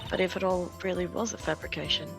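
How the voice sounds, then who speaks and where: A woman voicing a serious chief prosecutor giving measured testimony in a live fan dub of a game. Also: lowish voice, fairly even intonation, creaky, music in the background. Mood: confessing. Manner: measured testimony, breaks into laughter.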